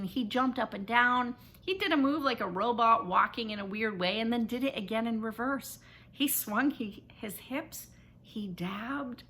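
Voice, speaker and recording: Bright, high-voiced woman reading a story. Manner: animated, dramatic storytelling delivery. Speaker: author reading aloud. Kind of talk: expressive first-person children's novel narration.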